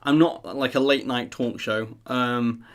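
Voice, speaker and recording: A man's voice: mid-range voice, steady tone, a little slow. A man hosting a live stream, chatting casually in an earnest, rambling, self-deprecating way. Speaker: live stream host of a theme park news channel.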